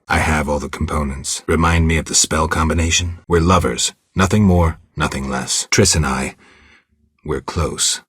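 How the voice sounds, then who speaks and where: A stoic monster hunter from a fantasy game, with an extremely low, raspy voice. He speaks in terse, guarded, deadpan phrases, gruff and dry, recorded close and without any room sound. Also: no echo, exaggerated voice. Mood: guarded, formal. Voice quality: gravelly, husky. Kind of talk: fantasy video game voice acting.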